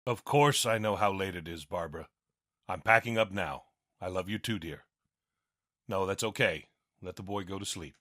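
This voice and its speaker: An actor playing a tired, caring middle-aged police captain in an animated comic, earnest in manner. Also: male voice, sits low, normal speed, dry recording, clean studio sound. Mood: tired, tender.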